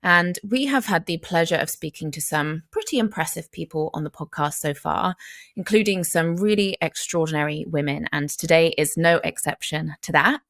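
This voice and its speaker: Woman host, low voice, measured and friendly, dry close sound. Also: a bit bright, dry recording. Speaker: podcast host. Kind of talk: podcast interview hosting.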